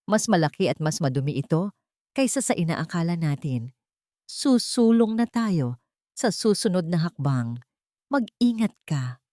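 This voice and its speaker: A woman storyteller reading as a grave attorney ally who gives urgent, deliberate warnings in a drama. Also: lowish voice, very animated, warm tone, dry recording, clean studio sound.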